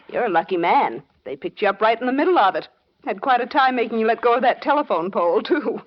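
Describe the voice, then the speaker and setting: Animated, reassuring radio nurse. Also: female voice, a little high, fairly quick. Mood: soothing. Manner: soothing, cheery, professional. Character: brisk, reassuring female hospital nurse. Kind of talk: old-time radio acting. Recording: dull vintage radio recording.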